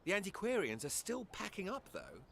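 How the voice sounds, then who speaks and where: A sly spy from a fantasy game, measured and guarded. His fairly high voice swings dramatically in pitch as he sidesteps with arch politeness. There is clear ambient noise behind him. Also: huge pitch swings, fairly bright tone, full character voice. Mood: guarded, cool. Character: guarded, sly male spy of an empire's intelligence service.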